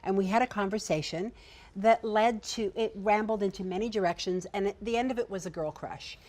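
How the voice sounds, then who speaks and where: A woman with a young-sounding voice, a writer and producer telling irreverent stories on a discussion panel, energetic and quick to laugh. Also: mid-range voice.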